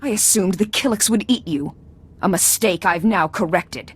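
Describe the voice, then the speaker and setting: A woman plays a cold villainess of noble standing in a game drama. She speaks at a middle pitch, haughty and threatening, with a fanatic's certainty. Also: moderate pace, breathy edge, lightly acted. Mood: cold menace. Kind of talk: dramatic video game acting.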